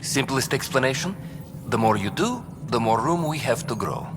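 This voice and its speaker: An actor playing a composed program official who explains things in a measured, bureaucratic way in a video game. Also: male voice, mid-range voice, big pitch swings, noisy background, close to an everyday voice. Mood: measured, explanatory. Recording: long hall reverb, music behind.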